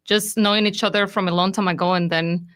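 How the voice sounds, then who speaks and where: A woman's voice: medium pitch, fairly even intonation, brisk, fairly bright tone. A woman guest on a radio talk show giving short, easy replies. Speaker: guest, realtor. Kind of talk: casual radio interview.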